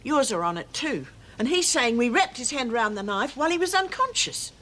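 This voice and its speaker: A woman's voice: sits high, sing-song, fairly quick, fairly bright tone. An actress playing a worldly, resigned female inmate, talking bluntly.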